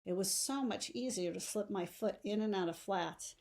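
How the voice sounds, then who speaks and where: A woman reads a novel aloud in an expressive, audiobook-style storytelling delivery. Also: medium pitch, moderate pace. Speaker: teacher reading a novel aloud.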